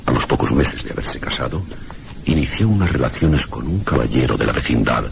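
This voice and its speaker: Gravelly, extremely deep scholarly gentleman. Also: lively intonation, full character voice. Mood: solemn, insinuating. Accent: Castilian Spanish. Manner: formal, deliberate, erudite. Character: scholarly, mysterious gentleman guide, obsessed with old legends. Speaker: radio drama actor. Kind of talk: mystery radio drama dialogue. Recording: noisy radio drama recording.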